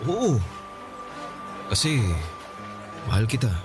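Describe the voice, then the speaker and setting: A man's voice: mid-range voice, sing-song, takes it slowly, music in the background. An actor playing a remorseful, lovelorn young man in a radio drama, pleading earnestly and hesitantly. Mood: earnest confession.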